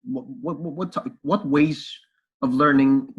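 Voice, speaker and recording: A man's voice: mid-range voice, slow pace, husky, muffled recording. Middle-aged man explaining emphatically. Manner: emphatic, explanatory, repeats phrases. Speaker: podcast guest, language teacher. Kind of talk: conversational explanation in an interview.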